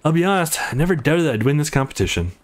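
A man's voice: fairly high pitch, very animated, very fast. Man acting smug, taunting young male fighter. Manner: mocking, smug.

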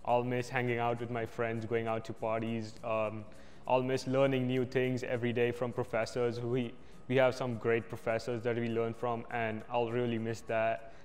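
A man's voice: medium pitch, completely flat delivery, normal speed, a little mellow, in a large hall. A young man from India, a senior student, answering a campus interview in an earnest, reflective way. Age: young adult. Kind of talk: outdoor vox pop interview. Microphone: lapel.

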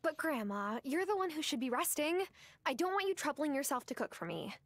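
An earnest young granddaughter in a cozy farming game. She sounds high and animated, caring and eager, recorded close and dry. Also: brisk, no echo. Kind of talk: cozy video game voice acting.

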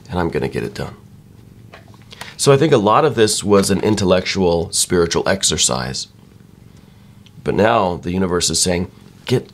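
Low voiced guy reading tarot cards, slightly croaky, keeps asking "right?". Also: a little hiss or hum. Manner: musing, repeats "right?" often. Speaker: tarot reader. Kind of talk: soft-spoken tarot reading.

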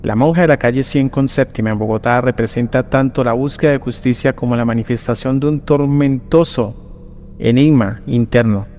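A man reading a scripted horror legend in first person, slow and solemn, with drawn-out pauses. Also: medium pitch, slight background noise. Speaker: narrator. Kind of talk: dramatic scripted horror narration. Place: voice-over over rainy night images. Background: soft background music.

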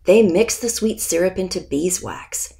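A woman narrates a children's nonfiction picture book in a fairly low voice with some gravelly creak. She reads very slowly and deliberately, giving expression to the text and pausing before key words. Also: warm tone. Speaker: author reading aloud.

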